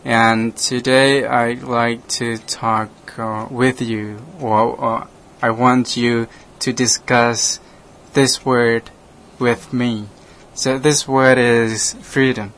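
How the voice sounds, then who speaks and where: A man from Laos, a native Lao speaker, hosting a radio talk and speaking haltingly with many restarts. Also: medium pitch, flat delivery, a bit bright. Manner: halting, hesitant, frequent restarts, laughs often. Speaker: radio program host, monk. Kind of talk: casual conversation in English.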